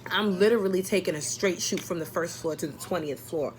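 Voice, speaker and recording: A woman's voice: on the low side, a little fast, poor audio. A young adult woman telling a personal story casually, exasperated and blunt, acting out dialogue and swearing often.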